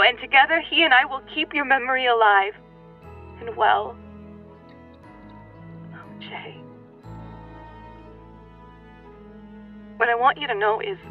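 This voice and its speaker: A woman playing a tender, quirky, grieving pregnant young widow in a radio play, speaking intimately and haltingly. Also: a little high, natural voice. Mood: wistful love. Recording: slightly dull recording with music behind.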